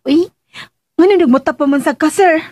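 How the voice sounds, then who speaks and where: A woman's voice: high-pitched, normal speed, a little mellow, dry recording, natural voice. An actress playing a flustered, feisty young working woman in a radio drama, exclaiming and stammering protests with a Filipino Visayan accent. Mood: flustered, protesting.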